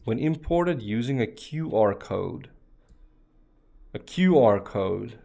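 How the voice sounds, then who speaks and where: A man's voice: mid-range voice, takes it slowly, vocal fry. Chatty Afrikaans-speaking man streaming. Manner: relaxed, chatty, many fillers and restarts. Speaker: streamer teaching networking. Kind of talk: casual live-stream chat while working a lab.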